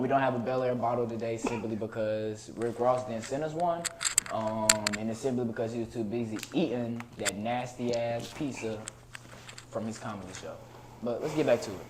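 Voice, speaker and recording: A young man in his twenties co-hosts a laid-back chat show. He speaks at an even middle pitch and trades playful digs with his guest. Now and then he jumps into loud, excited outbursts. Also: slight background noise. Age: 20s. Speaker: podcast co-host. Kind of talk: casual joking chat.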